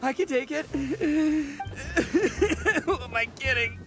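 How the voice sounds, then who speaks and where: Brash horned gang boss, boastful game character, wildly swooping pitch, stammering bluster, music underneath. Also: male voice, animated, drawn-out words, exaggerated voice. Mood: flustered, straining, then winded, indignant. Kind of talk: animated video game voice acting.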